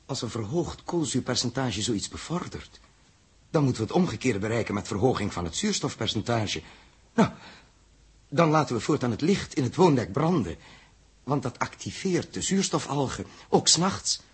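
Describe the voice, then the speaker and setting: An actor playing an earnest, troubled male spaceship captain in a 1960s Dutch radio drama, halting as he thinks aloud. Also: mid-range voice, big pitch swings, husky. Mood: earnest, resolute.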